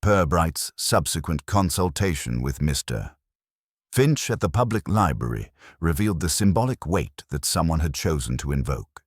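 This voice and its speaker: A man reading a cosy mystery as scripted narration in a measured, wry manner. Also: extremely low pitch, big pitch swings, breathy edge, no echo, clean studio sound.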